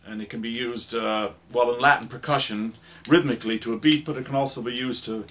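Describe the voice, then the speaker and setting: A man giving an informal, halting and rambling demonstration of sound-effect instruments, as a radio drama producer. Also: medium pitch, fairly even intonation. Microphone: stereo microphone. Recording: noisy recording with stereo microphone.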